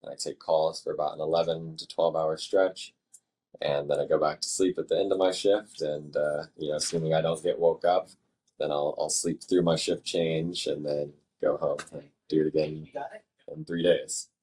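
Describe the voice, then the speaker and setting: A man working as a fire dispatcher, answering interview questions in a measured, thoughtful way with halting phrasing. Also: extremely low pitch, little pitch movement, dark tone, breathy edge.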